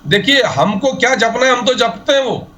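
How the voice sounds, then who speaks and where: A man's voice: very high-pitched, expressive, normal speed, pushed and bright. A man speaking as a party spokesperson in a televised debate, combative and accusatory, repeating his points.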